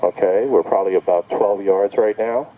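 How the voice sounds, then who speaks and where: A man's voice: fairly low pitch, moderate pace, phone-quality sound, slight background noise. A man giving a casual hands-on product demonstration outdoors, thinking aloud in short step-by-step remarks. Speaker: product reviewer. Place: outdoor backyard.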